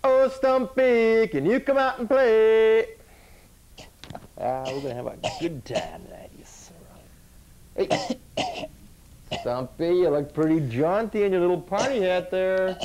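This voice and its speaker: Animated, patronizing puppet-show janitor host played by a man. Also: high-pitched, unhurried, long pauses, exaggerated voice. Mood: forced cheer. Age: young adult. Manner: patronizing, coaxing. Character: falsely cheerful, menacing janitor host of children's puppet show. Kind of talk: parody children's TV acting. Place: small indoor puppet-show studio set.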